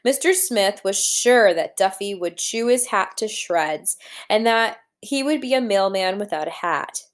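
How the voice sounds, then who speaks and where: A woman's voice: mid-range voice, lively intonation, measured pace. Woman reading a story aloud to children. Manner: instructive, addressing children directly, posing questions. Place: indoors at a computer.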